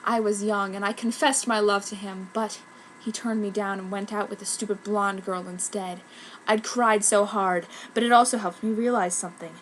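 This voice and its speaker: A woman's voice: medium pitch, fairly even intonation, normal speed, a little hiss or hum, close to an everyday voice, low-quality recording. A woman voicing a self-pitying, dramatic goth schoolgirl telling her own story in a fanfic reading. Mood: bitter, mournful. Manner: melodramatic. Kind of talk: mock-earnest first-person fanfic narration.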